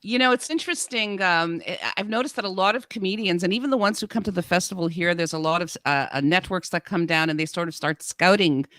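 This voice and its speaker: A woman's voice: on the low side, fast, few pauses, a bit bright, no echo. A woman co-hosting a casual talk show, asking engaged, interested questions. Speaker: co-host.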